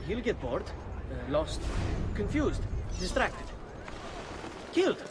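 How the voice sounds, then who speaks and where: An actor playing a frightened, paranoid fugitive in a video game, rambling nervously in halting bursts. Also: male voice, high voice, sing-song, very noisy, exaggerated voice. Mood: anxious muttering. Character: frightened, paranoid fugitive man, muttering and sleepless. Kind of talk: dramatic game dialogue.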